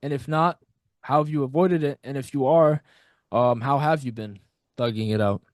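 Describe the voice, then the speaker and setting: A young man hosting a podcast, asking measured, reflective questions in casual interview talk. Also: mid-range voice, dry recording, studio quality. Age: young adult. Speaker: podcast host.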